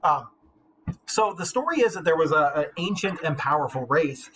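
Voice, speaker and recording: A man's voice: a little high, expressive, normal speed, fairly bright tone, slight background noise, poor audio. A man teaching English literature, moving between reading aloud and informal, wry commentary.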